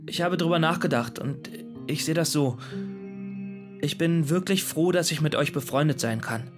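A shy, awkward young man played by an actor in an audio drama. He speaks hesitantly, restarting his words like a lonely nerd, with clear guitar music behind. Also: a little high, normal speed, natural voice. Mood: earnest. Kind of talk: audio drama acting, voicemail monologue.

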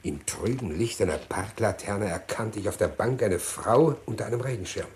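A man's voice: fairly low pitch, a little mellow, a little hiss or hum, natural voice. An actor playing a haughty, guarded murderer who tells his own story in a vintage radio play. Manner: measured, haughty, hesitant.

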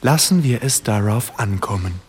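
A man's voice: lowish voice, lively intonation, moderate pace, a little mellow, a little hiss or hum, natural voice. An actor playing an earnest, self-pitying language-course man, mixing English and stilted German in a radio play. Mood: probing, argumentative. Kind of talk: radio play dialogue in language-lesson manner.

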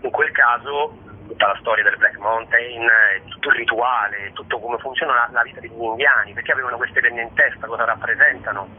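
A man speaks as a guest in a radio interview, his voice husky and slightly clouded. He talks in an expressive, discursive storyteller's way, turning to rhetorical asides. The sound is narrow and thin, like a telephone call on air. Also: medium pitch, fairly quick. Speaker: guest, stage actor and storyteller.

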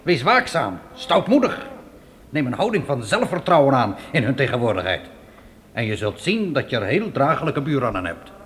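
Stern, animated frontier mountain man. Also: medium pitch, moderate pace. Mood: firm urging. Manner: stern, emphatic, admonishing. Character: seasoned, blunt frontier mountain man advising settlers. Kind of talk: Dutch radio play acting. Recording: noisy old radio play recording.

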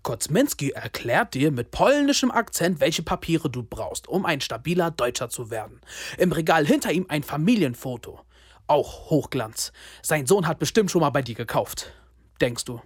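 A man's voice: fairly high pitch, very animated, normal speed, husky, no room sound, studio quality. A young man narrating a radio play in the second person, terse and sardonic, often breaking off to restart the scene.

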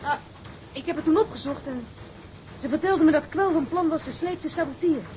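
An actress playing a bold, stubborn seafaring wife in a Dutch period drama, with a firm, plain-spoken way of talking. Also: female voice, high-pitched, brisk, dark tone, a little hiss or hum, lightly acted. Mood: worried, determined.

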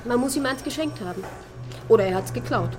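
An actress playing a brisk, matter-of-fact policewoman in a German radio crime drama. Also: on the high side, lively intonation, over music, natural voice. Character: brisk policewoman reporting to her boss.

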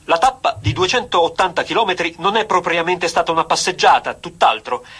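High-pitched, bright 1920s race commentator. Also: male voice, animated, a little fast, breathy edge. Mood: solemn reporting. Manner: florid, emphatic, declamatory. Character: excitable 1920s male radio cycling race commentator. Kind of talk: vintage sports radio commentary acting.

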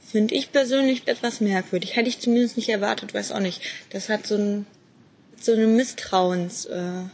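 Creaky-voiced woman, hesitant casual chat. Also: mid-range voice, steady tone, normal speed, a little mellow.

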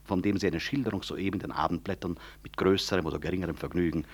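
Ironic hospital director, deep husky voice, measured formal speech, radio drama. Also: male voice, fairly even intonation, dry recording. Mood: composed irony. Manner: courteous, measured, dryly ironic. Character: urbane, ironic male hospital director chairing a meeting. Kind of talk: German radio play, formal meeting speech.

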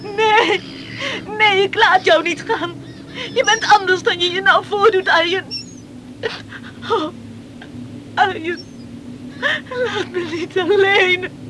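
A woman's voice: very high voice, slow pace, long pauses, fairly bright tone, exaggerated voice. An actress playing a distraught, reproachful young island woman in a Dutch radio play, tearful and pleading. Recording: old radio recording with faint noise.

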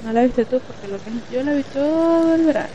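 Mujer haciendo de prefecta adolescente respondona, voz muy aguda. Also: female voice, high voice, expressive, fairly quick, warm tone. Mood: bickering. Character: sarcastic, mouthy teenage schoolgirl prefect. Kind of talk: amateur Spanish-language radio play. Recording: dull, clearly noisy home recording.

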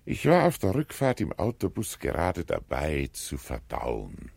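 A man narrates literary prose for radio in an extremely deep voice with a gravelly, raspy grain. He takes his time and speaks deliberately, like a storyteller, in a very dry studio sound. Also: huge pitch swings, darkish tone, dry recording.

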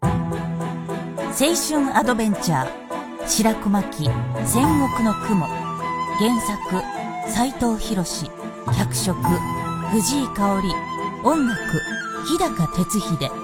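A woman's voice: medium pitch, lively intonation, extremely slow, long pauses. A woman delivering scripted narration and credits for a radio drama, as its narrator. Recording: loud music behind the narration.

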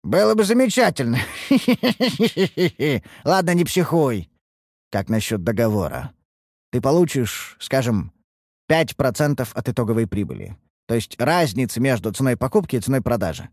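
A man's voice: medium pitch, very animated, moderate pace, darkish tone, no echo, studio quality. A man voicing a sardonic, bossy mage master with a mocking, persuasive manner in a fantasy audiobook. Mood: teasing, persuasive.

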